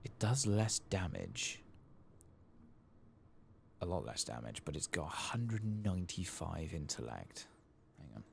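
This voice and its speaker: A man giving soft-spoken, partly whispered ASMR commentary while he plays a game, casually muttering to himself. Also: a bass voice, sing-song, measured pace, creaky, slight background noise. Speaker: gaming streamer.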